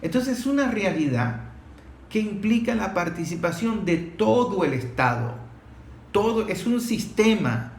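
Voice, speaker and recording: High-pitched, emphatic Venezuelan man in echoey room. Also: big pitch swings, measured pace. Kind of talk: unscripted analytical discussion. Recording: roomy echo, faint background noise.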